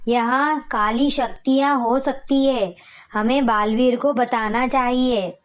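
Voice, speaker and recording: Worried parent in an animated tale, female actor. Raised pitch, unhurried delivery. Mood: uneasy. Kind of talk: Hindi animated moral story dialogue.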